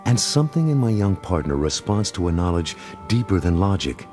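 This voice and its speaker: Stern vigilante hero, male actor, low pitch, grave and controlled, music underneath. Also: sing-song, fairly quick, a little mellow. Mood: brooding. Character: stern, brooding male masked vigilante in adulthood. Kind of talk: motion comic dialogue and inner narration. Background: background music.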